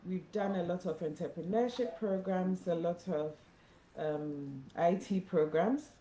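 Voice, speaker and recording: A woman, an executive director opening and hosting a live online session in a measured way with frequent ums. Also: fairly low pitch, dark tone. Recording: echoey room, faint noise.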